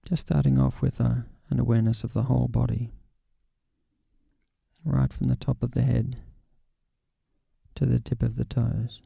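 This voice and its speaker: A man guiding a body scan meditation in a calm, gentle, unhurried way with long pauses. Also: mid-range voice, creaky, slightly rough, no room sound. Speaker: meditation guide.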